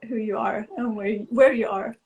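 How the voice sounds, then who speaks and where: A woman's voice: on the high side, fairly even intonation, normal speed, darkish tone. Upbeat woman hosting a yoga live stream. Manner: upbeat, laughs often, many fillers and restarts. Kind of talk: casual live-stream chat.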